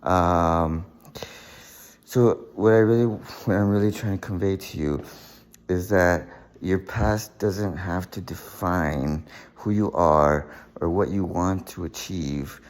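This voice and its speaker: A young man telling his life story in a casual monologue, halting often with fillers and repeated words. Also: low voice. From United States.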